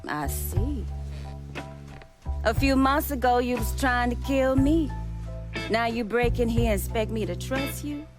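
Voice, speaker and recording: Woman acting a high-voiced, proud crime boss. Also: unhurried, long pauses, natural voice. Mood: wary, sardonic. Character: shrewd, proud female crime boss, guarded and bitter. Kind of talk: video game cutscene drama acting. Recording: music behind the voice.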